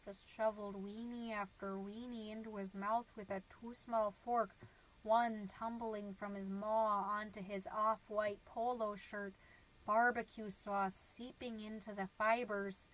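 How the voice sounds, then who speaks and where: A woman's voice: on the high side, expressive, normal speed, a bit bright, poor audio. A woman playing a middle-aged suburban stay-at-home mom, gossipy and naive, rambling through a comedic podcast monologue with folksy, dramatic emphasis. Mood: gloomy, wistful. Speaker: podcast host.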